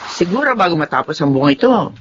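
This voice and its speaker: A woman's voice: on the low side, very animated, quick pace, dull audio. A woman playing a polite, dutiful office boss with something to hide in a Tagalog drama. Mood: official, measured. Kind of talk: Tagalog radio-style drama acting.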